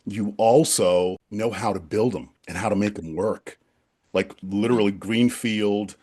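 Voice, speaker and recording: A man's voice: fairly low pitch, a little slow, darkish tone. A man co-hosting a podcast who asks enthusiastic, long follow-up questions. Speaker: podcast co-host. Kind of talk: interview hosting.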